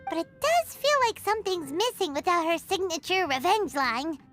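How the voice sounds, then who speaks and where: A woman voicing a tiny, chirpy childlike fairy companion with a squeaky, bubbly, talkative delivery in a video game. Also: big pitch swings, normal speed, over music. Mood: chatty, curious. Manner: bubbly, talkative, refers to self in third person. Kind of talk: animated video game voice acting.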